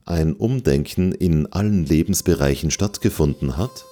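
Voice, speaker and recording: Radio play storyteller, extremely deep and dark, scripted delivery. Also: male voice, lively intonation, moderate pace. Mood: solemn.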